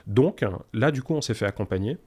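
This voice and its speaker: A man's voice: medium pitch, expressive, brisk, breathy edge. A man in his forties from Canada, chatting informally as an interview guest and explaining his points with examples. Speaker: startup cofounder guest. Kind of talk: casual conversational interview.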